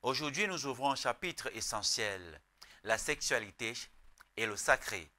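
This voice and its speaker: Bright-voiced solemn male programme host. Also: medium pitch, animated. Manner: solemn, formal, deliberate phrasing. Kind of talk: scripted broadcast introduction.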